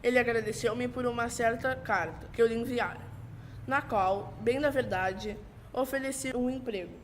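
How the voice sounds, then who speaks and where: Knowing, formal gentleman played by a female, highish voice, amateur period radio drama, faint noise. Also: normal speed, close to an everyday voice. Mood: composed, explanatory. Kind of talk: amateur 1940s-style radio drama acting.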